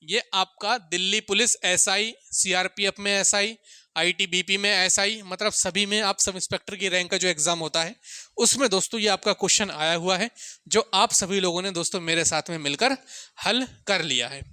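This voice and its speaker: A man's voice: high-pitched, normal speed, pushed and bright, clean tone, no echo. A man teaching maths informally to students, asking questions and answering them himself, repeating key points. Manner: addresses listeners often as sir and friends. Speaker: maths teacher for exam coaching. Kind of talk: informal classroom-style lesson, talking to students.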